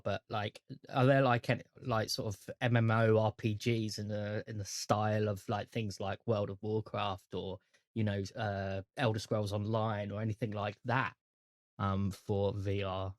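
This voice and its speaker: A man's voice: on the low side, fairly even intonation, normal speed, no echo, studio quality. A man in casual podcast chat, talking in an enthusiastic, rambling way. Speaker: podcast co-host.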